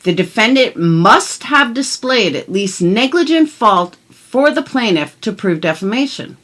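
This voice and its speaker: A woman's voice: fairly high pitch, expressive, a little slow, fairly bright tone. A middle-aged woman vlogger who chats casually and reads documents aloud in an emphatic, blunt manner, swearing freely. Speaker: commentary vlogger. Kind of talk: casual chat and mock-dramatic reading of legal documents.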